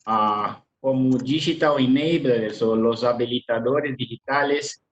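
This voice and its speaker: A man's voice: mid-range voice, measured pace, dull audio. A middle-aged man from Brazil with a Brazilian Portuguese accent, giving an online business presentation with many "ah" fillers and repeated words. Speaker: webinar speaker.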